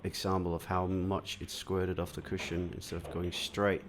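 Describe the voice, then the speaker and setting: A man from Denmark giving calm solo commentary on a pool match, explaining shots and answering viewer chat. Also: low-pitched, normal speed, noisy background. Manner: relaxed, explanatory, many "uh" fillers.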